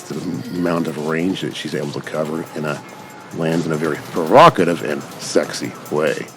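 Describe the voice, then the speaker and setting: A man giving casual, rambling gameplay commentary as a gaming YouTuber, wordy and informal. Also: low-pitched. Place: voice-over on game footage. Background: music.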